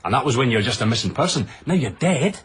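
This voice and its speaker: An actor playing a brash, excitable, crass male TV producer in a radio comedy-drama, with an emphatic, jokey manner. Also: mid-range voice, fast, slight background noise, lightly acted. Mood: boastful, jokey. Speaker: TV show producer.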